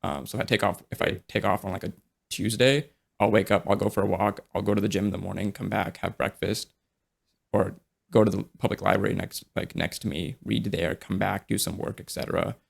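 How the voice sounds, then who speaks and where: A young man in casual podcast conversation, talking in a relaxed, rambling way with many fillers and restarts. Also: lowish voice, fairly quick, gravelly.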